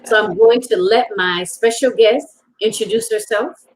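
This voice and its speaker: Upbeat lady host, chatty and welcoming, mid-pitched voice. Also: unhurried, poor audio. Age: older than guest. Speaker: talk show host. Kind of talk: online talk show interview.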